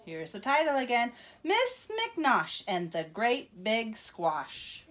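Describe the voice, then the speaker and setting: A woman reading a picture book aloud to children in an expressive, teacherly way, with asides to young listeners. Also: on the high side, slow, slight background noise.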